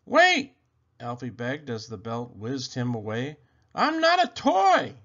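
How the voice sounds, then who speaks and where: A man voicing a frightened little boy who pleads in a high, breathless voice. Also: huge pitch swings, slow pace, bright, forceful tone, heavily acted. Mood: pleading. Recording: dull lo-fi sound.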